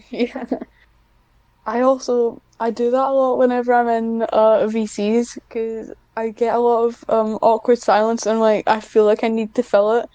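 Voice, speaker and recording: A woman's voice: fairly high pitch, level tone, normal speed, warm tone, no echo. A woman chatting casually as a podcast guest, talking in a hesitant, self-deprecating way. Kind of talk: casual one-on-one interview chat.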